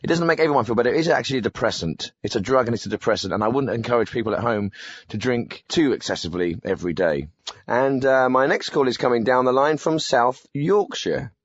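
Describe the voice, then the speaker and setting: A man's voice: medium pitch, animated, fast talker, dry recording, natural voice. A man playing a glib, clueless phone-in host who blandly agrees and cuts in. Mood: bland agreement. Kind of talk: spoof phone-in presenting.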